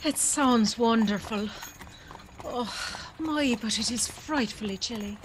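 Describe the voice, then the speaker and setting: Slow-spoken, timid young servant girl. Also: a little high, slight background noise. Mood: polite, curious. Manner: earnest, anxious, old-fashioned country phrasing. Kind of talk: audio drama acting and storytelling.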